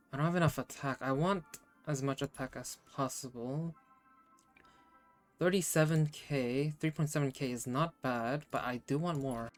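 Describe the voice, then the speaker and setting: A man's voice: mid-range voice, fairly bright tone. A man doing casual gaming commentary, reacting aloud and often repeating short phrases to himself. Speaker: game streamer. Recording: music behind the voice.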